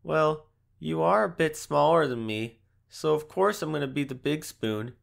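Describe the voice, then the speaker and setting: Young man acting as a playful male fox spirit, unhurried, creaky voice, gentle, affectionate, teasing, boyfriend audio roleplay. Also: on the high side, expressive, heavily acted. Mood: soothing, tender. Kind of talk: boyfriend ASMR roleplay, addressing the listener.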